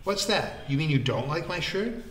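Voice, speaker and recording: A man voicing an exuberant, warm-hearted young man in an audiobook, excitable and slangy. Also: a little high, normal speed, echoey room, long reverb tail, slight background noise, pitched above the performer's own voice. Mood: boisterous teasing.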